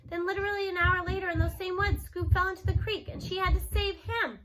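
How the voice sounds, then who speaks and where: A woman giving an expressive read-aloud of a children's novel. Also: very high voice, quick pace.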